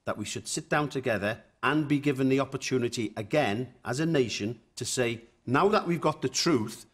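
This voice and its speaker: A man with a husky, mid-pitched voice speaks up from a debate audience. He makes his point earnestly and deliberately. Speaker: audience member. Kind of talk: spontaneous debate comment.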